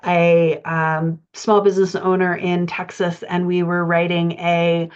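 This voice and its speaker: A woman's voice: on the low side, flat delivery, unhurried, clean tone. A woman giving an informal, explanatory podcast lesson as a writing coach, talking in a conversational way. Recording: dull lo-fi audio.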